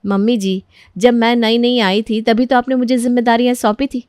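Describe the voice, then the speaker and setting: A woman's voice: on the high side, little pitch movement, moderate pace, darkish tone, no room sound, close to an everyday voice. Proud young elder daughter-in-law, acted by a woman, scheming and insistent under false sweetness, dry studio sound. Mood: sweetly persuasive. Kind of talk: Hindi moral story character acting.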